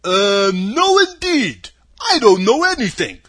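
A man voicing a friendly, mournful, simple-minded scarecrow in a storybook, husky and hesitant, often opening with a drawn-out "uh". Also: high-pitched, big pitch swings, bright, forceful tone, pitched above the performer's own voice, full character voice. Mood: puzzled, wistful. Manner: hesitant, opens phrases with drawn-out "uh".

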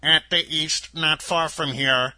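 A storyteller voicing a small, grave Munchkin man who speaks slowly and solemnly in a storybook reading. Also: medium pitch, steady tone, pushed and bright, no room sound. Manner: slow and solemn.

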